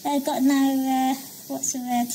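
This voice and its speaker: Female co-talker, high soft-edged voice, agreeable short replies, faint noise behind her. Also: flat delivery, unhurried. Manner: agreeable, brief interjections. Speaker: interviewer and conversation partner on the stream. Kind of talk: casual chat.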